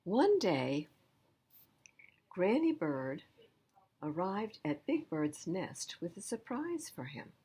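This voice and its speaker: A woman storyteller reads aloud for small children, emphasizing words and turning to ask them questions. Her voice is fairly low and husky, with a very animated melody. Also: slow, long pauses. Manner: emphatic, addresses young listeners directly. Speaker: library storytime reader.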